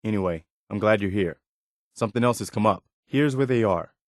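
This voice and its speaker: Game role: principled, weary militia officer, played by a male actor, sincere, plainspoken, lowish pitch, dry close recording. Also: fairly quick, no echo, lightly acted. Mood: earnest, steady. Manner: sincere, plainspoken, morally upright. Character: earnest, steadfast militia officer, weary but principled man. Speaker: game companion character. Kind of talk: video game voice acting.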